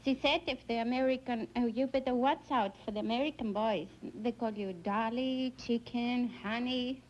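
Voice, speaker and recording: A young woman from Greece with a Greek accent, speaking halting, broken English as a quiz show contestant. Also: fairly high pitch. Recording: dull 1950s broadcast with faint noise.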